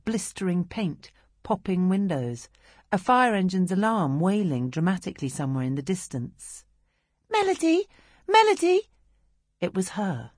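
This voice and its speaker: A British woman reading literary narration as an audiobook narrator, in a measured, expressive storytelling manner. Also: lowish voice, dry recording, studio quality.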